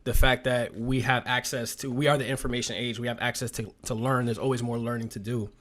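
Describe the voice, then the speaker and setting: A man's voice: medium pitch, little pitch movement, fast, fairly bright tone. Encouraging male podcast host. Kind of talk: casual podcast interview.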